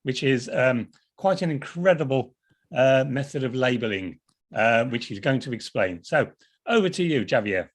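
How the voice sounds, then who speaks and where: A man opening an online event as host, relaxed, with ums and uhs. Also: medium pitch, normal speed. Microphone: video call.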